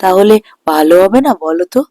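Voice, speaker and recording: Actress as greedy cartoon village wife, scheming, nagging, coaxing, scornful, medium pitch, dry close sound. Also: female voice, lively intonation, fairly quick, mellow, no room sound, close to an everyday voice. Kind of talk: Bengali fairy-tale cartoon voice acting.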